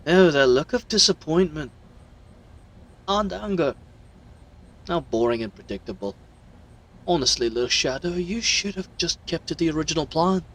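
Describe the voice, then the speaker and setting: A man's voice: a little high, lively intonation, normal speed, pauses often, fairly bright tone, pitched above the performer's own voice, rough recording. A man voicing a smug, mocking villain with theatrical, condescending delivery in an audio roleplay. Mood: gloating. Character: smug, mocking male villain crime broker.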